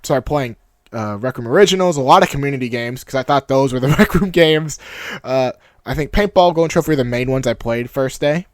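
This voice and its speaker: A young man with a deep, steady voice, looking back on a game in a casual vlog. He moves between nostalgia and self-mocking humor. The recording is close and dry, with no room sound. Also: fairly quick, dry recording. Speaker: YouTube creator. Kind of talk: reflective personal vlog narration.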